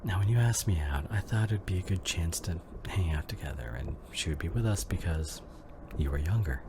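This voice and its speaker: A man's voice: low-pitched, expressive, brisk, background noise. A man acting a devoted, worried husband in an emotional ASMR drama, speaking intimately and breathily, often half-whispering. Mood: worried, affectionate. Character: devoted, worried husband comforting his injured wife.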